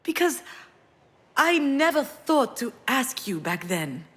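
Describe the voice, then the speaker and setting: An actress playing a grieving, self-reproaching woman in a video game drama, speaking in an earnest, reflective, halting way. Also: high-pitched, big pitch swings, fairly bright tone, husky, heavily acted. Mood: remorseful. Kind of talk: dramatic visual novel voice acting with inner narration.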